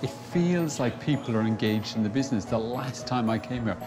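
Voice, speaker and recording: A man's voice: medium pitch, lively intonation, fairly quick. A man presenting a business rescue show, giving firm advice. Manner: firm, advisory. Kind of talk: reality TV presenting. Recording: music bed underneath.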